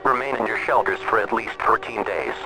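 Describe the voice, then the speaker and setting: A man voicing an emergency alert announcer in a scripted broadcast, speaking in a formal, measured and impersonal way. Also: fairly low pitch, natural voice, low-quality recording. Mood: grave, impersonal.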